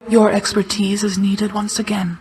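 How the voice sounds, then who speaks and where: A woman playing an ancient, regal mother goddess of night in a video game, speaking in a formal, measured, restrained way, hushed at times. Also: medium pitch, little pitch movement, a little hiss or hum, lightly acted. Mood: serene, formal. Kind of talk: scripted video game character acting.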